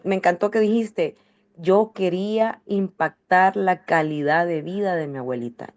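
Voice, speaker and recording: A woman's voice: lowish voice, darkish tone, muffled recording. A woman hosting a health testimonial session, talking in loose run-on phrases with frequent restarts. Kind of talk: warm conversational hosting.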